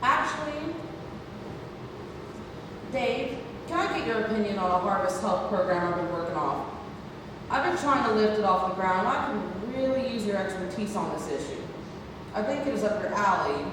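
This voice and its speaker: A woman's voice: medium pitch, animated, moderate pace, natural voice. Distant, echoey woman acting a kindly nurse. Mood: tactful. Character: kindly, tactful female nurse, gently firm. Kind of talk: play table read. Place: large indoor theatre room, far microphone. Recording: distant, echoey hall, noisy room recording.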